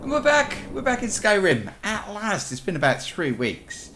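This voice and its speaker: A man hosting a game playthrough, a bit croaky from illness, rambling and chatty as he thinks aloud. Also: high voice, wildly swooping pitch, unhurried, heavy background noise. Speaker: let's play host. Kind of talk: casual gameplay commentary.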